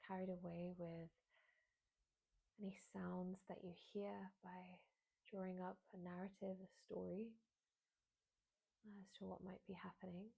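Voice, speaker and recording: A woman guiding a beginners' meditation in a soft, breathy voice, with soothing phrasing and frequent pauses. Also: fairly low pitch, level tone, muffled recording. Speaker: meditation guide, yoga teacher.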